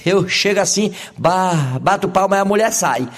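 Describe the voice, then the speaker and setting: A man's voice: high-pitched, expressive, moderate pace, fairly bright tone, heavily acted. Man playing an eager stuttering job seeker. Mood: confident. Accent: Brazilian.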